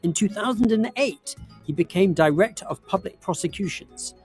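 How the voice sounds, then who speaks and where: A man reading scripted explainer narration in a crisp, measured way. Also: sits high, lively intonation, darkish tone, soft background music. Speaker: documentary narrator.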